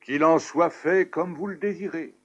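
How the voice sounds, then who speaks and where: An actor voicing a polite, old-fashioned genie who is centuries old, in a dubbed cartoon. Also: male voice, on the high side, normal speed, a bit bright. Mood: fussy. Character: polite ancient male lamp genie. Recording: dull lo-fi VHS tape audio.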